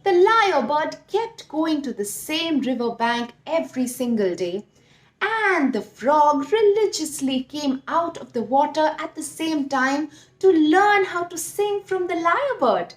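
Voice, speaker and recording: A woman telling a story to young children, expressive and speaking directly to her listeners. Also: high-pitched. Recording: roomy echo.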